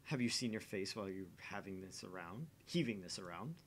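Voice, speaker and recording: Man playing a smug, teasing low-voiced charmer. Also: brisk, fairly bright tone, a little hiss or hum. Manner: mocking, taunting. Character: smug, teasing man, mock-helpful and condescending. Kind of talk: reading visual novel dialogue aloud.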